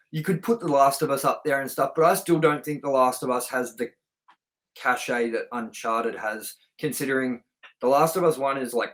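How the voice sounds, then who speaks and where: A man's voice: mid-range voice, fairly bright tone, poor audio. A man co-hosting a film review show, chatting casually and enthusiastically with informal, sweary language.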